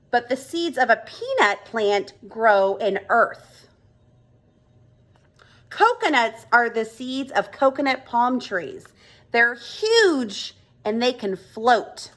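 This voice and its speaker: A woman's voice: fairly high pitch, a bit bright. A woman voicing a friendly grown-up frog in a children's storybook, explaining things patiently and with emphasis. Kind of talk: children's storybook read-aloud dialogue.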